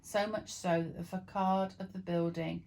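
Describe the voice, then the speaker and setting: A woman's voice: on the low side, level tone, moderate pace, darkish tone. A woman reading printed text aloud in an informal, amateur storytelling way, now and then tripping over words. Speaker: vlog co-presenter reading aloud.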